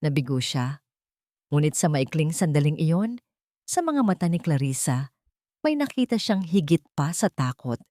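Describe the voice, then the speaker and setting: A woman's voice: sits low, sing-song, moderate pace, pauses often, warm tone. A woman reading dramatic scripted fiction as a story narrator.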